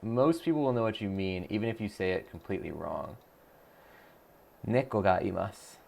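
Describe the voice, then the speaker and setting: A man's voice: fairly low pitch, normal speed, vocal fry, darkish tone. A man on a live stream, chatting casually, thinking aloud with lots of fillers and restarts, and reading viewer messages aloud to answer them. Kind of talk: casual live-stream chat while practicing a language app.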